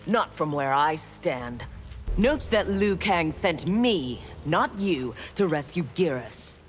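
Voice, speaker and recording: A woman's voice: low voice, big pitch swings, slow pace, soft background music, heavily acted. A woman voicing a proud, ruthless young female ninja warrior in a video game, speaking in a cold, haughty, clipped way.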